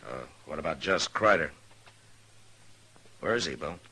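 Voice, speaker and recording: An actor playing a stern, laconic western marshal in a radio drama, terse and dry. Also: male voice, extremely low pitch, lively intonation, unhurried, pushed and bright, slightly rough, heavily acted. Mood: guarded, calm. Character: stern, laconic Old West marshal, weary and guarded. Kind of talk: 1950s radio western acting.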